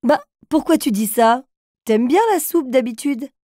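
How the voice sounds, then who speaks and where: A woman's voice: sits high, unhurried, mellow, dry recording, clean studio sound. A woman narrating a children's cartoon, patiently reading riddles aloud and encouraging little ones to guess. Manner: patient and encouraging, speaks directly to young children. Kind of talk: gentle scripted narration for toddlers.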